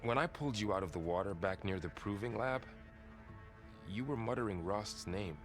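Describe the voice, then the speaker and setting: A gentle young male companion played by a man in a post-apocalyptic game, speaking earnestly in a deep voice. He is considerate and soft-spoken, with music clearly playing beneath him. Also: lively intonation, moderate pace, close to an everyday voice. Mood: concerned, gentle. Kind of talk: video game acting. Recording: music under the voice.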